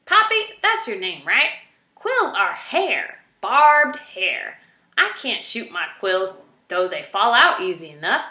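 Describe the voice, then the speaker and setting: A woman's voice: mid-range voice, big pitch swings, slow, pushed and bright, reverberant, heavily acted. Woman acting a crotchety old porcupine, swooping indignant delivery. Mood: exasperated, blunt. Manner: bellowing, grumbling, indignant. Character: gruff, crotchety old male porcupine, blunt and exasperated. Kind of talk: children's storybook character voice.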